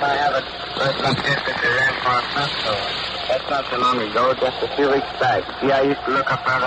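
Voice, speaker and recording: A man's voice: a little high, heavy background noise. A man, an American seaman, doing scripted banter and greetings over a garbled 1940s shortwave relay. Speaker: American seaman serving overseas.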